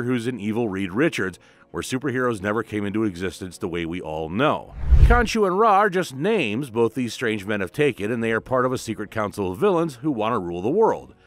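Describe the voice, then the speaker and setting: A man narrating a comic book recap as host, mixing scripted storytelling with casual commentary. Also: mid-range voice.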